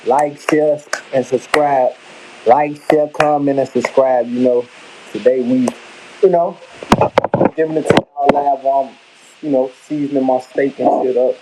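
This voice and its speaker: A man's voice: mid-range voice, steady tone, unhurried, noisy background. A man from Chicago with a Chicago accent, talking casually on a live vlog in a rambling, emphatic way. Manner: rambling, emphatic, slangy, repeats himself. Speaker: vlogger. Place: home kitchen.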